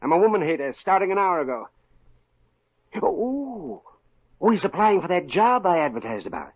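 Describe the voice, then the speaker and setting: An actor playing a grumpy, sarcastic middle-aged company boss in a 1950s radio comedy, on a muffled old broadcast. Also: male voice, high voice, very animated, moderate pace, breathy edge, exaggerated voice. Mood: exasperated. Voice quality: sputtering, sarcastic. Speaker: company owner.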